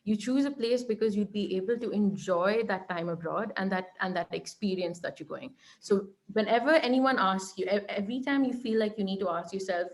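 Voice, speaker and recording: A young woman with an Indian accent answers interview questions at a steady mid pitch. She gives earnest, practical advice and keeps checking in with a quick "right?". She often restarts her phrases as she talks. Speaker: guest, law lecturer. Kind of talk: online interview conversation.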